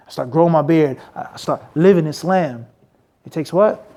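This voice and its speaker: High-voiced man preaching emphatically. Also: normal speed, warm tone. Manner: emphatic, asks rhetorical questions to the crowd. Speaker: Islamic teacher. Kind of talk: lecture with Q&A. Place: mosque.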